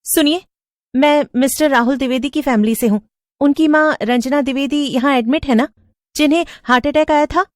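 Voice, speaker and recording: A woman voicing an anxious, lonely young receptionist in an animated story, expressive, questioning and hesitant. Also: high-pitched, fairly quick, no echo, studio quality, pitched above the performer's own voice, lightly acted. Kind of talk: dramatic cartoon story voice acting.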